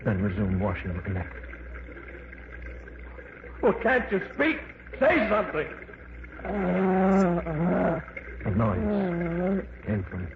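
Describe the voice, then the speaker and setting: An actor playing a frightened man held captive underground, telling his tale in tense, dramatic old-time radio narration. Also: mid-range voice. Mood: pleading. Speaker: first-person narrator of a radio play. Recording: scratchy, tinny old radio broadcast.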